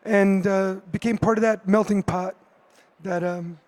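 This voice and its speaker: A man with a cold and a hoarse voice, speaking hesitantly as an artist on a conference panel, with many fillers and restarts. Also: high voice, steady tone, unhurried, darkish tone. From United States.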